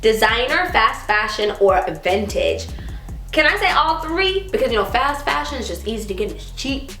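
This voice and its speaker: A woman in her twenties from Texas, chatting casually as she answers viewer questions, giggling often and sometimes trailing into a soft mumble. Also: on the high side, sing-song, moderate pace. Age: 20s. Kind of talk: casual chat. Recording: roomy echo with faint music.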